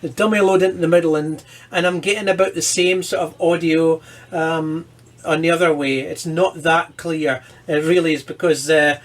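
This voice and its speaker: A man with a somewhat high voice gives a casual demonstration at his workbench. He talks informally, thinking aloud as he works through a repair. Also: fairly even intonation, normal speed. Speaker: presenter of a hobby electronics repair video. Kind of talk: casual hands-on demonstration commentary.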